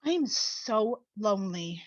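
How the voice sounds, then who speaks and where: A woman's voice: mid-range voice, lively intonation, slow pace, no room sound, lo-fi sound. A woman playing a gushing, needy and blunt young woman in a comedic play reading, with dramatic, exclamatory slang. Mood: lonely, complaining. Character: Brooklyn, gushing, needy, blunt young married best friend.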